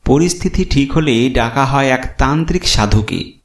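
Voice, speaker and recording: A man's voice: medium pitch, expressive, normal speed. A man narrating a scripted horror story in a dramatic storytelling style. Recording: roomy echo, loud music. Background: loud background music.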